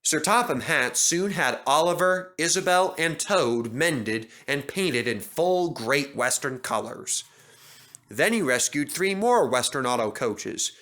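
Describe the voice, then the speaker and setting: Animated male storybook narrator, bright voice. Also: on the high side, takes it slowly. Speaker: narrator reading a children's story. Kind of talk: expressive scripted storybook narration.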